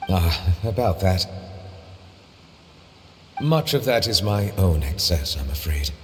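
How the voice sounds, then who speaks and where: An actor playing a dignified, reserved chief judge in a video game, speaking in a measured, precise, formal manner. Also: male voice, low-pitched, animated, big-room sound, a little hiss or hum. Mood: earnest, courteous.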